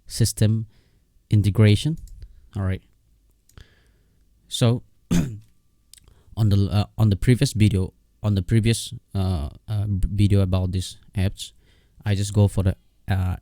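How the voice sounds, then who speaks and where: A man giving a casual, unscripted software tutorial in a halting, repetitive way, often checking in with his listener. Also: on the low side, steady tone, dry recording, studio quality.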